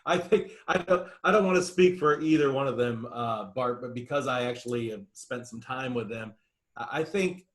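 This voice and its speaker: A man with an average-pitched voice offers comments in a group video call. He is agreeable and somewhat hesitant, working gradually toward his point in informal language. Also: moderate pace. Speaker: participant commenting.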